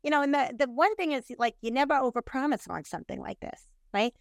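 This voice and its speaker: A woman's voice: high-pitched, expressive, fast, gravelly, dry recording, studio quality. A middle-aged woman, a real estate agent, talking in a casual interview over a video call. She talks with energy, laughs often and keeps saying "you know" and "right".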